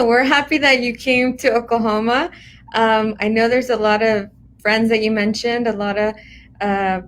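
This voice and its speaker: A woman's voice: mid-range voice, a little hiss or hum. A woman hosting a casual live interview in a welcoming, encouraging way. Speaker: interview host.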